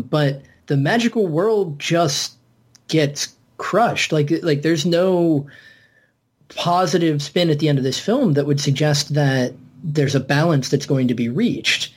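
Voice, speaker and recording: A man's voice: on the high side, darkish tone, breathy edge. A man in a casual film discussion as a podcast panellist, reflectively working through his ideas aloud.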